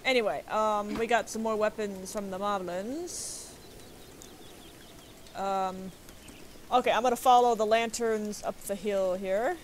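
A woman's voice: medium pitch, steady tone, a little slow, pauses often, slight background noise. A woman in her thirties streaming a video game, chatting casually and relaying messages from chat. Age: 30s.

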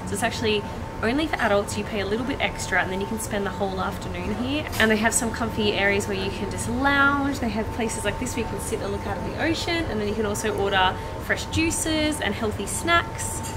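A young woman presents a cruise ship to a handheld camera in a relaxed, friendly way. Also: medium pitch, fairly quick, very noisy. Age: young adult. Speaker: travel vlogger. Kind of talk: casual travel vlog tour. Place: cruise ship deck and lounges.

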